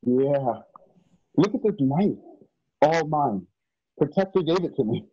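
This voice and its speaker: An actor playing an earnest, excitable young man with folksy rural speech in a radio play. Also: a little high, takes it slowly, slightly rough, heavily acted. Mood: quietly proud. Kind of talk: remote radio play acting. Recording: dull lo-fi home microphone.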